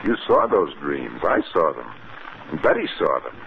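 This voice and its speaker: A man's voice: very deep voice, very animated, normal speed, vocal fry, heavily acted. An American actor playing a doctor's questioning male colleague in a 1950s radio drama. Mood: skeptical. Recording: noisy, narrow-band 1950s radio recording.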